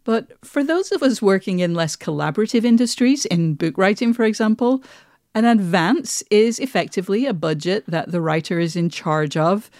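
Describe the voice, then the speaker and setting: A woman's voice: medium pitch, animated, moderate pace, a little mellow, dry recording, clean studio sound. A woman co-hosting a podcast, speaking in a conversational style. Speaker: podcast co-host.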